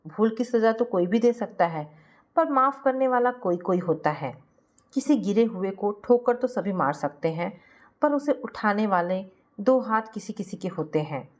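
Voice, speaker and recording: A woman's voice: mid-range voice, animated, unhurried, dull audio, close to an everyday voice. Kind earnest guy, done by a woman narrator, moralizing, family story style. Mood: gently moralizing. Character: kind, principled man advising his friend.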